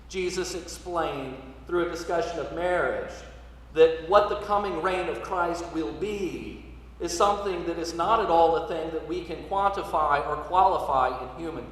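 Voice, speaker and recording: Echoey, distant man preaching in church. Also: on the high side. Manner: measured, rhetorical delivery with emphatic swells and quiet drops. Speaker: Episcopal priest preaching. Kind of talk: sermon. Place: large church interior, live service. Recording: echoey church, distant mic, some noise.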